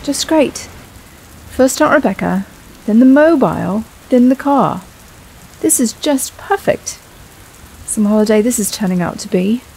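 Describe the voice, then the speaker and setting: Woman acting exasperated, high-voiced young woman traveller. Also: animated, unhurried, vocal fry, background noise. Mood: exasperated, sarcastic. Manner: exasperated, sarcastic sighing delivery. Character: weary, irritable, sarcastic young woman traveller. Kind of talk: audio drama acting.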